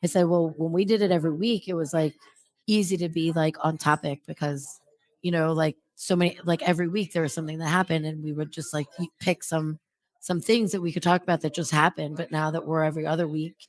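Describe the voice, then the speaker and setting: A woman's voice: deep voice, steady tone, fast talker, no room sound. A woman in her fifties co-hosting a talk show in a rambling, self-deprecating, conversational way. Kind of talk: casual chat.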